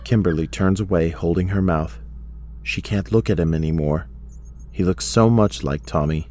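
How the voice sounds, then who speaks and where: A man's voice: low voice, normal speed, darkish tone. A man reading scripted dramatic narration as the narrator of an audio drama.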